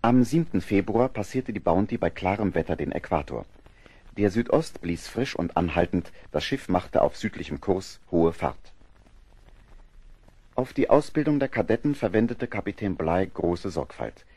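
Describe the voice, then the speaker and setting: A man narrating a German radio play from a script, in a husky voice. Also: sits low, expressive, moderate pace, darkish tone.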